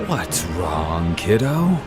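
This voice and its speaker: A man playing a cruel, mocking hunter god in an audio drama, taunting in a drawn-out, theatrical way. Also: deep voice, very animated, exaggerated voice. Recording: music bed behind voice.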